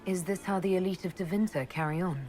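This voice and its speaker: An actress playing a composed, guarded young noblewoman who leads an order in a fantasy game, with a measured, dry manner. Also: fairly low pitch, steady tone, a little mellow, faint music behind, natural voice. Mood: concerned, curious. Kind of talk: fantasy video game dialogue acting.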